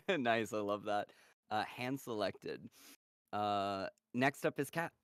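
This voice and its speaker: A man's voice: lowish voice, animated, slow pace, fairly bright tone, dry recording, studio quality. A man hosting a casual livestream Q&A with a voice cast, laughing often and encouraging each guest. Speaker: host of a cast Q&A.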